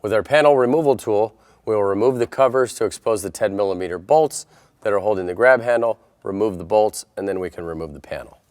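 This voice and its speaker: A man's voice: fairly low pitch, fast, a little mellow. An American man presenting a step-by-step installation tutorial in an instructional style.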